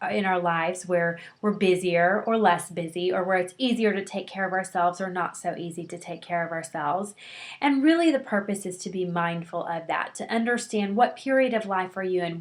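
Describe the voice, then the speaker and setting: A middle-aged woman records a lecture for her counseling students. She speaks at an ordinary pitch and keeps a warm, encouraging, conversational tone. She often pauses on an um and restarts her sentences. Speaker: counseling instructor.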